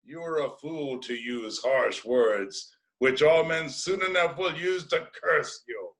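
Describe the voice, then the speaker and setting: A man plays an old blind prophet in a Greek tragedy. His voice is high-pitched and piercingly bright. He declaims with bitter defiance, every phrase delivered as an ominous pronouncement. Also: slow. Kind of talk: dramatic classical Greek tragedy reading.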